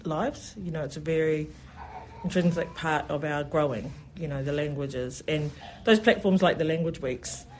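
New festival organiser, mid-pitched and enthusiastic, a rambling interview guest. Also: moderate pace, slight background noise, rough recording. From New Zealand. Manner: enthusiastic, rambling, many "you know" fillers. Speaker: festival event specialist. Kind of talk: radio interview.

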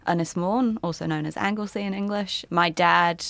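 Low, gravelly woman in her twenties. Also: normal speed, fairly bright tone, dry recording. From Wales. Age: 20s. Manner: chatty, laughs easily, restarts phrases. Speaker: journalist. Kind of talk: interview answers.

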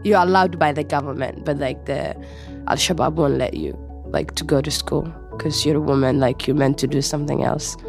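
A woman's voice: low-pitched, fairly even intonation, moderate pace, gravelly, darkish tone, faint music behind. A young woman from Somalia, a student speaking in a studio interview, telling her story casually with many fillers. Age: young adult.

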